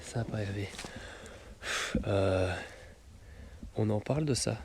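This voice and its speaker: A man's voice: fairly low pitch, takes it slowly, dark tone. A man with a Belgian French accent, chatting enthusiastically in a vlog while taking photos outdoors in a misty forest. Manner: chatty, enthusiastic, laughs often. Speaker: photographer vlogger. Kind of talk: casual vlog chat. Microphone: action camera. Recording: noisy outdoor camera audio.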